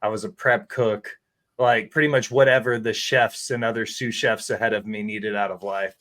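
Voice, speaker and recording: A man's voice: lowish voice, fairly even intonation, moderate pace, a bit bright. A man, a guest chef telling candid, rambling stories in a casual interview and swearing freely. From Tennessee.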